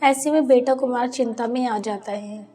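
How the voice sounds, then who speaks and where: A female narrator with a high voice tells a scripted cartoon story. Soft music plays underneath her narration. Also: fairly even intonation, normal speed.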